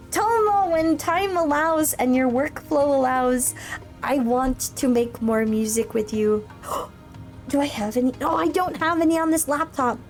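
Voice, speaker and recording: A woman in her thirties chats casually on a livestream in a higher voice and takes her time. She rambles through stories, laughs often and leans on filler words. Faint music plays behind her. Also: darkish tone. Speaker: streamer and military veteran. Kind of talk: casual livestream chat and storytelling.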